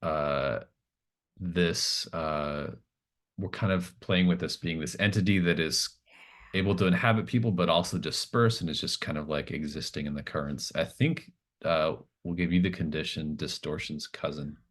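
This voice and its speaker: A man acting as game master, narrating a tabletop roleplaying game casually and thinking aloud with hesitant pauses. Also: rumbling low.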